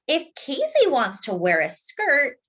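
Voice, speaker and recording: A woman voicing a calm, accepting mother of young children in a storybook, measured and reassuring. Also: high-pitched, highs cut off, pitched above the performer's own voice, full character voice.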